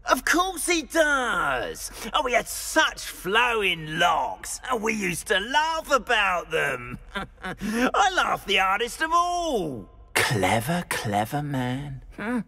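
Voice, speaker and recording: A man playing an excitable, gossipy older man in a video game, theatrical and exclamatory, gleefully mocking as he talks. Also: high-pitched, slow, gravelly, heavily acted. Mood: gleeful reminiscing. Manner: theatrical, exclamatory, prone to laughing. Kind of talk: video game character acting.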